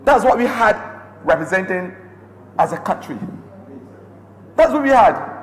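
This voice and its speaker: A man's voice: high-pitched, expressive, measured pace. Barbadian guy giving a fired up speech in parliament, echoey hall, bit of hiss. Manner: impassioned, repetitive oratory. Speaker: member of parliament. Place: parliament chamber. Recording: echoey chamber with long reverb and faint hiss.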